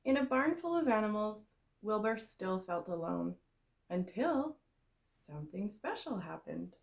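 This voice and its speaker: Creaky-voiced woman reading a children's story. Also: mid-range voice, unhurried. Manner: expressive, explanatory storytelling for children. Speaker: kindergarten teacher reading a story aloud. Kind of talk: storybook read-aloud for young children.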